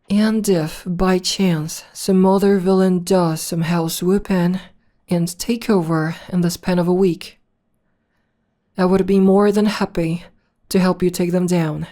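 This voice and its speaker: A woman acts out a female villain caring for her heroic nemesis in a soft ASMR roleplay. Her voice is mellow and fairly low, and she takes her words very slowly. She soothes and teases, lingering on endearments with possessive tenderness. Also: steady tone. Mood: teasing, reassuring. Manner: soothing, drawn-out, teasing endearments.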